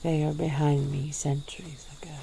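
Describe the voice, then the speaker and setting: A woman voicing a centuries-old female vampire in an ASMR roleplay, speaking in a slow, drawn-out, purring way. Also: level tone, vocal fry, slight background noise, poor audio. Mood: languid. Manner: slow, low, drawn-out purring delivery with soft laughs.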